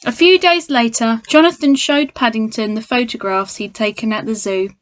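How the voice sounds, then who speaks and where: A woman with a slightly high voice reads a storybook to young children. She is expressive and speaks straight to her listeners, like a primary school teacher. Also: normal speed, no echo. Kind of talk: children's storybook read-aloud.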